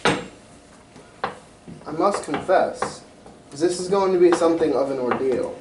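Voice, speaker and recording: A man's voice: on the high side, animated, takes it slowly. A man playing a grandiose, formal king in a radio drama read on stage. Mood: anxious. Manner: pompous, formal. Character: anxious, grandiose Victorian-era male king. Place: community theatre stage reading. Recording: echoey hall, distant mic, faint noise.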